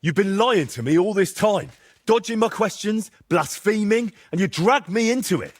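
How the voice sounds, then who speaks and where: A husky young man, a loyal medieval game hero with a blunt, sardonic way of questioning. His pitch moves a lot, and the recording is dry and close. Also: expressive, normal speed, no echo. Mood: indignant. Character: blunt, loyal young medieval adventurer, exasperated but principled. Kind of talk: video game dialogue acting.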